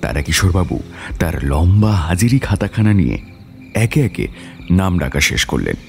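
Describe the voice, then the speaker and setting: A man's voice: deep voice, big pitch swings, normal speed, creaky, faint music behind. A man reading a scripted story as its narrator, with dramatic delivery.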